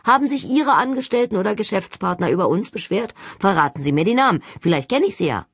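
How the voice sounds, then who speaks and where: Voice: medium pitch, lively intonation, fast, slightly rough, dry recording, studio quality, pitched above the performer's own voice. An audiobook reader voicing a cocky, unflappable young male agent with a breezy, mock-polite manner. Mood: mock-polite, defiant. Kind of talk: audiobook character acting.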